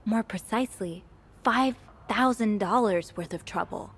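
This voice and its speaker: An earnest young hotel maid. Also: female voice, mid-range voice, unhurried, husky. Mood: intrigued. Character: young hotel maid snooping for secrets, polite, earnest, curious. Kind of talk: video game voice acting.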